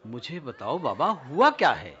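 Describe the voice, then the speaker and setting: An actor playing a devout, compassionate prophet in a religious drama, with a husky, breathy voice and a solemn, pleading manner. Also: male voice, mid-range voice, big pitch swings, a bit bright, highs cut off. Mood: alarmed. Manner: solemn, pleading, slow in prayer.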